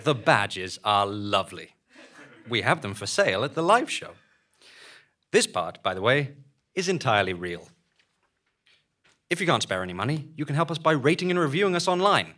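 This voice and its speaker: Bright-voiced man, dryly comic announcer. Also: mid-range voice, sing-song, normal speed, long pauses. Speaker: show performer making sponsor appeal. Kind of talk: scripted live comedy announcement. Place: small theatre stage, live show.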